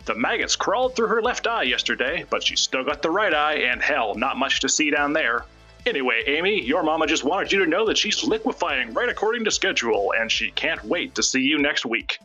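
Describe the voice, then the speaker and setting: A man's voice: a little high, sing-song, brisk, pushed and bright, breathy edge, soft background music, exaggerated voice, poor audio. A man voicing a folksy, manically cheerful and gleefully macabre radio personality in old-time host patter. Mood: cheerfully macabre.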